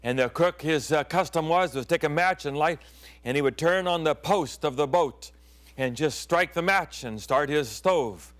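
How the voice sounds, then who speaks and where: A middle-aged man who grew up in Thailand, a pastor hosting his own program, telling stories in a sermon and often dropping to hushed tones and whispers. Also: fairly high pitch, moderate pace. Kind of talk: storytelling sermon.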